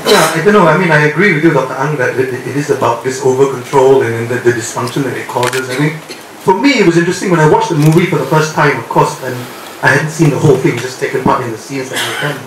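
A man's voice: medium pitch, quick pace, a little hiss or hum. An older man, an actor on a panel after a screening, talking earnestly and bluntly about his views. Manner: earnest, outspoken. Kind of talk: post-screening Q&A answer. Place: screening room, seated. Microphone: handheld mic. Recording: very echoey room, distant.

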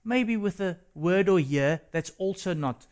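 A South African man whose first language is Afrikaans, co-hosting a religious discussion in an earnest, halting way. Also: high voice, expressive, darkish tone, smooth. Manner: earnest, hesitant with restarts. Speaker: co-host. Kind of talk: studio discussion.

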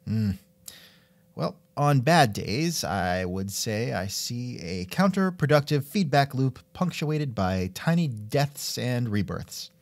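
A man with a lively, swooping delivery hosts a casual interview. He sounds wry and musing, and the recording is close and dry. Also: mid-range voice, takes it slowly, no echo. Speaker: podcast host and interviewer. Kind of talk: casual scripted interview chat.

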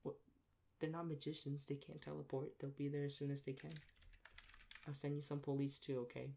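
A young man in a soft-spoken ASMR role play as a rude emergency dispatcher, talking in a deadpan, sarcastic and dismissive way. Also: fairly high pitch, fairly quick. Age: young adult. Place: small plain room indoors. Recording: clear background noise, keyboard clicks.

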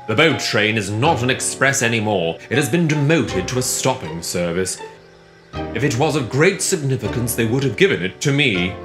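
A man's voice: mid-range voice, animated, moderate pace, music in the background, natural voice. Man as a pompous, grumbling big steam engine. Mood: scornful. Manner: lordly, dismissive, grumbling. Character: pompous, grumpy, haughty big male express engine.

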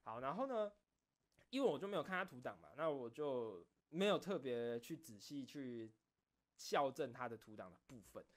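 Man chatting casually with lively, swinging intonation. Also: mid-range voice, normal speed. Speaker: streamer and video creator. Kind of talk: casual solo chat, recounting behind-the-scenes stories.

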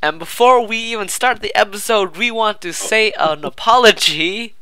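A man's voice: high voice, normal speed, pushed and bright. A man co-hosting a casual podcast, talkative and emphatic, drawing out his show intro. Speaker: podcast co-host.

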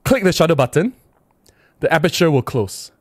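A man's voice: on the high side, sing-song, normal speed, no echo. Malaysian man livestreaming, dry close sound. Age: middle-aged. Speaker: livestream host. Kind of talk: casual livestream chat, reading out viewer comments and replying. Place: small indoor room at a desk. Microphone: close desk microphone with windscreen.

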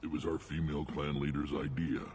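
A man voicing a gruff, battle-worn alien clan chief in a video game, blunt and commanding, with a gravelly rumble. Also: flat delivery, brisk, darkish tone. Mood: firm, proud.

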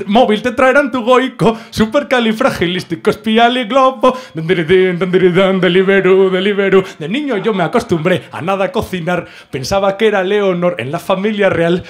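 High-voiced Spanish stand-up comic. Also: male voice. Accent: Spain. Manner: animated, theatrical delivery. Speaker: stand-up comedian. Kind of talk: stand-up comedy with comic singing. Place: small comedy club stage. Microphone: handheld microphone.

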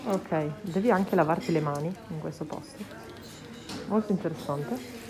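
A woman in her twenties chatting excitedly as she vlogs outdoors on city streets, holding her phone at arm's length. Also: lowish voice, normal speed, crowd noise. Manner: chatty, excited, mixes English words. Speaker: vlogger. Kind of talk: casual travel vlog. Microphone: phone held at arm's length.

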